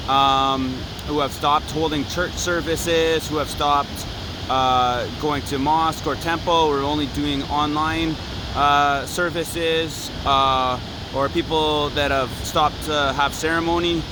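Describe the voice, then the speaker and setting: Slow, halting male interviewer outdoors. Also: a little high, steady tone. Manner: halting, tentative, many pauses. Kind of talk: informal field interview. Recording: clear outdoor background noise.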